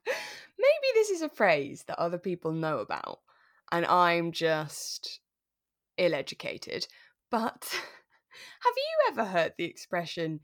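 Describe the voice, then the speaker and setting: A woman co-hosting a casual review podcast, chatting in a giggly, animated way with enthusiastic gasps. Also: on the low side, slow, dry recording, studio quality. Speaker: co-host of a radio drama review podcast. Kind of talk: casual chat.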